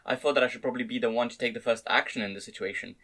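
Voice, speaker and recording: A man's voice: medium pitch, very fast, pushed and bright. A man narrating a first-person story as an amateur dramatic reading. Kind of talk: dramatic reading of fan-read light novel narration.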